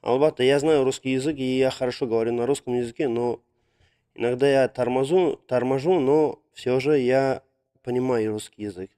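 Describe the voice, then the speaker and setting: A man's voice: mid-range voice, normal speed, warm tone, clean tone. A young man chatting casually on a live stream about drawing viewers' requests, speaking hesitantly with many fillers and Russian words mixed in, recorded on a phone.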